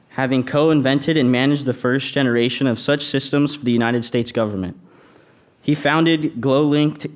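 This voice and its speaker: A young man reads a speaker introduction from notes at a lecture hall podium, with small slips. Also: mid-range voice. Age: young adult. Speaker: student introducer. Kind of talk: reading an introduction.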